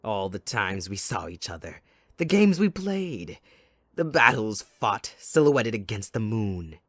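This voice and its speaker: A man playing a mocking, possessive, sadistic villain in an ASMR audio roleplay, with a taunting, drawn-out theatrical delivery. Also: lowish voice, big pitch swings, fairly bright tone, dry recording, studio quality, exaggerated voice. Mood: mock-tender, menacing.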